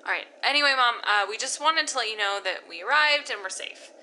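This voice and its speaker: A woman's voice: a little high, lively intonation, fast talker, bright, forceful tone, slight background noise, low-quality recording. A young woman in an audio drama leaving a chatty, upbeat voicemail for her mother. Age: young adult. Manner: chatty, upbeat, a little breathless.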